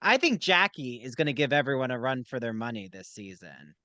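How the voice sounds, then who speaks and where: A man's voice: mid-range voice, brisk, no echo. A man hosting a podcast, chatting casually and asking his guest playful questions. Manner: playful, animated interviewer. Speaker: podcast host. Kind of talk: casual chat.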